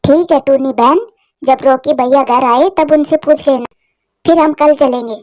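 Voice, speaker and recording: A woman's voice: high voice, measured pace, a little mellow, dry recording, studio quality. A woman voicing a helpful, sisterly female pigeon who reassures her friend in a cartoon story. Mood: encouraging. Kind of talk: Hindi cartoon story dialogue.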